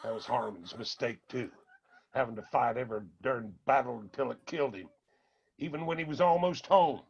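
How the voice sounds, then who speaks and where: An actor playing a weary, stern old mountain father in a radio drama, with an Appalachian accent and a husky, gravelly voice. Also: mid-range voice, moderate pace, darkish tone, muffled recording. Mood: firm, sorrowful.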